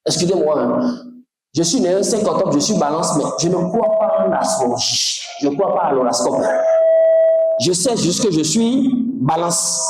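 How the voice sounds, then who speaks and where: A man from Togo teaching a spiritual class in an animated, emphatic way, often putting questions to his listeners. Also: high voice, a little hiss or hum. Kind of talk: lecture to a class. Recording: very echoey room.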